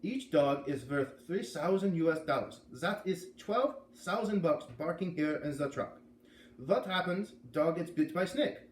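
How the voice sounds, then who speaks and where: A man's voice: on the high side, lively intonation, unhurried, pitched above the performer's own voice. An irate German dog trainer from a storybook, acted out by a man, blustering and emphatic in broken English. The voice swings up and down and sounds in an echoey room. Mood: furious, accusing. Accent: put-on German. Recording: echoey room, faint background noise.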